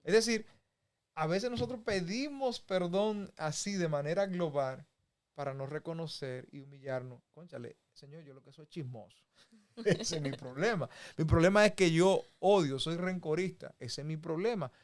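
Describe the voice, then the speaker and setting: A man's voice: fairly high pitch, moderate pace. A man from the Republic, teaching a Bible lesson in a folksy conversational way. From Dominican Republic. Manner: folksy, with homey examples and chuckles. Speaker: preacher.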